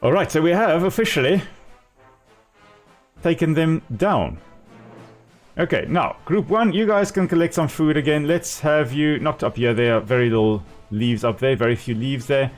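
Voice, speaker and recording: A man's voice: a little high, animated, normal speed, faint music behind. A man with a South African accent, a native Afrikaans speaker, chatting casually and explaining as he plays a game on a live stream.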